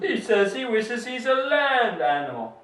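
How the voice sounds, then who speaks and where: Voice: medium pitch, very animated, a little slow. An announcer of a homemade children's puppet show, speaking in a playful, encouraging, exclaiming way to a small audience. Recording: distant echoey home recording, faint noise.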